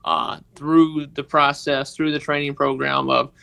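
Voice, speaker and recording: A man with a Mississippi accent, telling a personal story on a podcast in a halting, earnest way. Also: on the high side, flat delivery, gravelly, bright, forceful tone, clean tone. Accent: Southern US, Mississippi. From northeast Mississippi, United States. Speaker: podcast guest, organization member telling his story.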